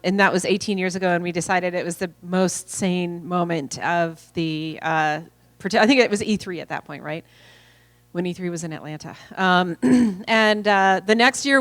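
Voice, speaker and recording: A woman's voice: medium pitch, a bit bright, no room sound. A middle-aged woman giving casual, enthusiastic welcoming remarks at a conference lunch, with plenty of fillers. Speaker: event speaker.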